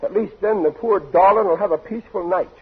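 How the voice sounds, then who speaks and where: An actor playing a bumbling, blustering middle-aged working-class American father in a 1940s radio sitcom, with rough everyman grammar. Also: high voice, a little fast. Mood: pleading bluster. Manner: pleading bluster, ungrammatical everyman speech. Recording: tinny, noisy 1940s radio recording.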